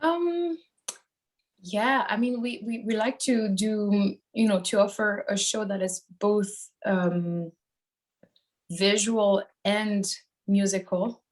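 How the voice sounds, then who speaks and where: A woman's voice: medium pitch, slow. A woman from Switzerland, a band singer, giving casual interview answers in a thoughtful, hesitant way as she searches for phrasing.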